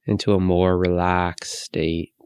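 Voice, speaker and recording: A man leading a guided meditation in a gentle, unhurried way, leaving long pauses between phrases. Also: low-pitched, little pitch movement, clear, resonant tone, dry recording. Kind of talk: guided meditation, soothing instruction.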